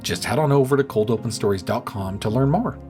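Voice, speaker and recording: A man with a medium-pitched voice makes a friendly podcast announcement as host. Guitar music plays clearly behind him. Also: animated, brisk, a little mellow. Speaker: podcast host, creative director.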